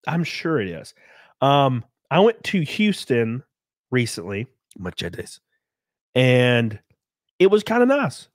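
A man in his thirties hosting a casual live stream from a small home room, close on a boom mic, joking crudely and sounding congested from a cold. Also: very animated, takes it slowly, pauses often, no echo. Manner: crude, joking, laughs often. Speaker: livestream host. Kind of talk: casual live-stream chat reading donations. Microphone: studio mic on boom arm, close.

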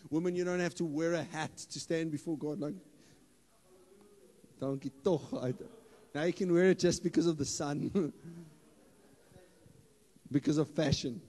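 A man, preaching a lively sermon on a church stage into a handheld mic, playful and building to loud emphatic bursts. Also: fairly high pitch, a little slow, long pauses. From South Africa. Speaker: pastor. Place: church hall stage with audience. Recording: hall reverb, live church PA.

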